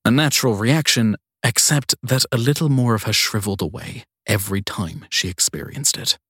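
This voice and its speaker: Low, slightly husky male narrator, dry sound. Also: moderate pace, a bit bright, no room sound. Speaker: audiobook narrator. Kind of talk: scripted crime fiction narration.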